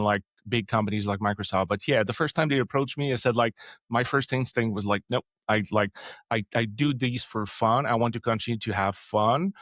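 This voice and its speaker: A man's voice: medium pitch, dry recording, studio quality. A man in his forties from Quebec, a native French speaker with a Quebec French accent, telling a long career story as an interview guest with many fillers and restarts. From Quebec, Canada. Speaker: podcast guest, developer advocate. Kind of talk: casual interview answer.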